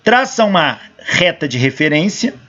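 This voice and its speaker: Hoarse Brazilian man lecturing. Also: a little high, very animated, moderate pace, pushed and bright. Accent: Brazilian Portuguese. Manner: explains step by step, asks and answers his own questions. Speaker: engineering professor. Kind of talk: lecture working through equations. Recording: dull lo-fi recording.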